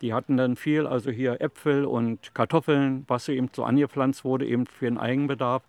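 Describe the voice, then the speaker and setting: A retired man in his seventies answers interview questions, telling stories in a calm, plain, rambling way. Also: medium pitch, fairly quick, no room sound. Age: 70s. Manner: calm, plain, rambling storyteller with fillers like "also" and "eben". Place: outdoors in a city allotment garden.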